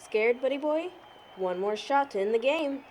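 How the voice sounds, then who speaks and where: Casting: actress as a cocky young woman, swooping lively pitch, taunting, faint noise. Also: normal speed. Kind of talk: audio drama dialogue.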